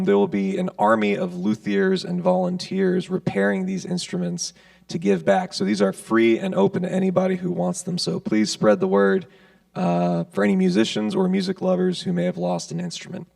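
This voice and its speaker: A man's voice: sits high, moderate pace, gravelly, a little mellow, dry recording. A man making a casual community announcement at a church service, with lots of "uh" and "um". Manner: casual, filler-heavy delivery. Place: church sanctuary. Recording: voice picked up from a distance, dry.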